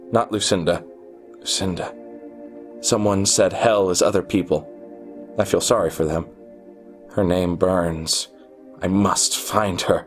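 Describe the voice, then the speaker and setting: Man acting obsessed arrogant programmer, radio drama, low pitch, brooding halting delivery, music underneath. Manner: brooding, halting, scornful monologue. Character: confused, lovelorn, arrogant genius programmer searching obsessively.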